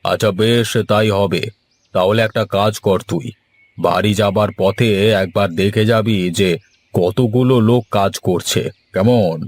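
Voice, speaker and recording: A man's voice: on the low side, fairly even intonation, measured pace. A man voicing an authoritative village landlord who owns paddy fields, in a cartoon folk tale. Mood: commanding.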